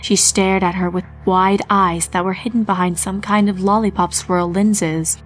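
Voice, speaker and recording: A woman's voice: mid-range voice, steady tone, normal speed. A woman reading a scripted fan fiction story as its narrator. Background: background music.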